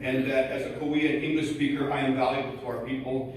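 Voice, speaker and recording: A man's voice: medium pitch, flat delivery, fast. A man giving a nervous prepared speech as a student at a college gala, hesitant with many fillers and reading from notes. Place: large event hall. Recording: echoey hall from far off, faint noise.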